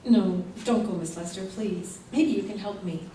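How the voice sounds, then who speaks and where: Desperate neglected wife, played by a woman, soft mellow tone, pleading, heard far off in an echoey theater. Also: medium pitch, normal speed, natural voice. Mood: distraught, pleading. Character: distraught, pleading neglected wife, eager to please. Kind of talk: old-time radio theater acting. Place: theater stage, recorded from the audience. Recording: echoey theater, distant mic, noisy.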